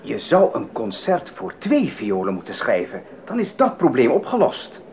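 Jovial nobleman, very animated pitch. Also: normal speed, highs cut off, slight background noise. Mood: friendly, insistent. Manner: hearty, familiar, emphatic. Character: jovial, music-loving nobleman and generous patron. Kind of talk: 1980s radio drama acting.

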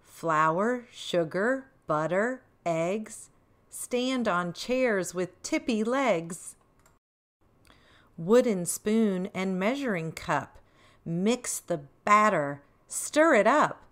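A middle-aged woman hosting a children's read-aloud, expressive, reading picture-book lines and chatting to young viewers with questions. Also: mid-range voice, extremely slow. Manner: expressive, addressing small children with questions and exclamations. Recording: roomy echo, distant microphone.

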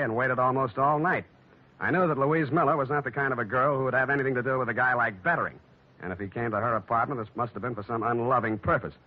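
An actor playing a wry, unflappable hard-boiled private detective, deadpan and wisecracking, in 1940s radio style. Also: male voice, medium pitch, natural voice. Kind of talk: 1940s radio acting with first-person narration. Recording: dull vintage radio recording.

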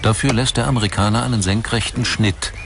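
A man narrating a scripted documentary in a measured, storytelling delivery. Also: fairly low pitch, background noise. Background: music.

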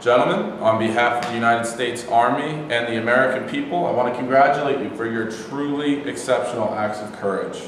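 A young man playing a solemn army brigadier general, giving a formal, measured ceremonial speech in a play reading. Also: mid-range voice, close to an everyday voice. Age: young adult. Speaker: actor in a staged reading. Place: bare indoor room, standing. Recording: very echoey hall, faint noise.

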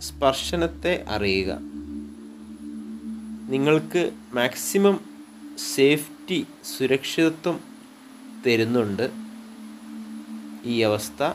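A man with a Malayali accent guiding sleep hypnosis meditation, soothing and unhurried, pausing between short phrases over relaxing music. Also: mid-range voice, expressive, noisy background. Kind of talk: guided sleep hypnosis narration. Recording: relaxing music with singing bowls behind.

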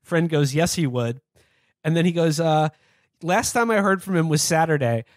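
Male podcast host, casual comic read-aloud delivery, slightly higher register, dry close sound. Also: expressive, normal speed, dry recording. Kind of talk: casual comedic podcast talk, reading aloud texts.

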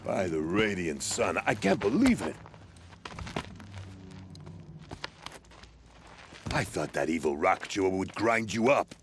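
A wry, grumbling mercenary scout from a video game. His voice sits low and moves around a lot in pitch as he complains with bitter, sardonic color. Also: big pitch swings, normal speed, a little hiss or hum. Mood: relieved, weary. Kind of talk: video game cutscene dialogue.

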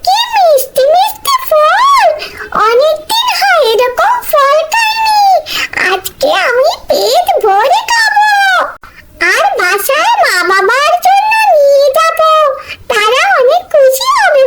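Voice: very high-pitched, big pitch swings, slow pace, fairly bright tone, full character voice. A performer voicing a sweet, innocent little bird chick in a children's cartoon, speaking in a childlike, plaintive way. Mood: delighted.

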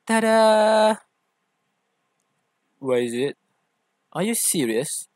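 A man's voice: sits high, very animated, very slow, darkish tone, dry recording, exaggerated voice. A man playing an affectionate, theatrical young boyfriend who teases and coaxes in an ASMR roleplay. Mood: playful, teasing. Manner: theatrical, teasing, coaxing. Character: playful, affectionate, teasing young femboy boyfriend.

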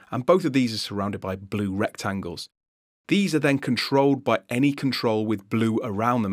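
A man's voice: lowish voice, no room sound. A man giving an explanatory, conversational screencast tutorial narration as an instructor, recorded on a close voice-over mic.

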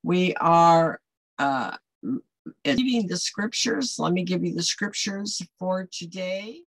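An older American woman speaks in a fairly low voice with very little room sound. She teaches scripture informally as a Bible study host. Her delivery is conversational and emphatic. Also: takes it slowly, dry recording, rough recording. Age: older, 60s or above. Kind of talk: informal devotional teaching to camera. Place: small home office, indoors.

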